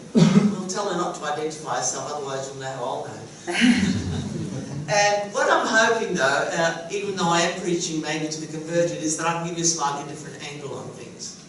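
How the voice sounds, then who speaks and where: A woman's voice: on the low side, normal speed, fairly bright tone, far from the mic. A middle-aged woman from Sicily, with Sicilian as her first language, giving a chatty, wry conference talk as an educator. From Sicily, Italy. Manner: chatty, wry, laughs at her own jokes. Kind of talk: conference presentation. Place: conference room. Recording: very echoey hall, voice from afar, faint hum.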